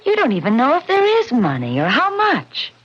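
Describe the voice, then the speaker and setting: An American actress playing a young, bitter, defiant daughter with a sardonic manner in a radio mystery drama. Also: female voice, high-pitched, huge pitch swings, moderate pace, slightly rough, heavily acted. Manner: sharp, sardonic. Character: bitter, defiant grown daughter of a murdered mother. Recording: muffled old radio drama recording.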